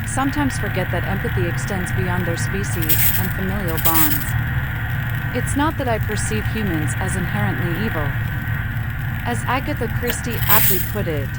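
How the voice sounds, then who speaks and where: A woman giving scripted, reflective game narration in a measured, articulate, musing way. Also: medium pitch, heavy background noise. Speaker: game player voicing her protagonist. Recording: loud game ambience behind voice.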